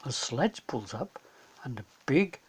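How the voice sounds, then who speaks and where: A man's voice: medium pitch, big pitch swings, a little slow, husky. A man narrating a scripted children's story as a storyteller.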